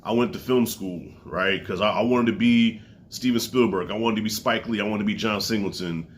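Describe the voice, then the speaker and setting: A congested man in his forties talks straight to camera, his voice thick and stuffy. He speaks loosely and profanely, with sudden high swings in pitch. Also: steady tone, a little fast. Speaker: comedy special reviewer. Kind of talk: casual spoken review to camera.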